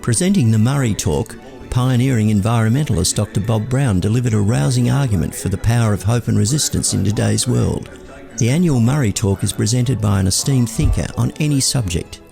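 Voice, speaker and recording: A man with an Australian accent reading scripted news narration as a reporter in a measured way. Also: medium pitch, vocal fry, music in the background.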